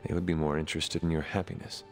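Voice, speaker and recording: An actor playing a formal, old-fashioned young man in a video game, the son of a demon queen, with a gravelly voice. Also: extremely low pitch, level tone, fast, a little mellow, soft background music. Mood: calm. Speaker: game character. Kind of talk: video game cutscene acting.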